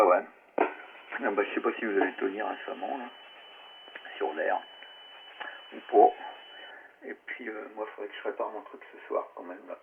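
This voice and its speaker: Through a narrow, slightly crackly ham radio link comes a man. His voice is fairly low with plenty of creak, and he chats casually in slangy, informal language as one operator to others.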